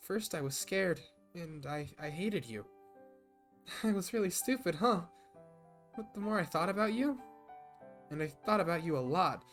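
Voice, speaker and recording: A woman's voice: lowish voice, pitched above the performer's own voice. A man voicing a tearful, overwhelmed young woman who talks in breathless, sobbing bursts. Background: music.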